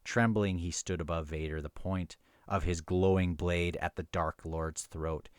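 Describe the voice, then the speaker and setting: A man hosting a casual book review, talking and reading passages aloud in a halting, self-correcting way. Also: low-pitched, steady tone, dry recording, clean studio sound.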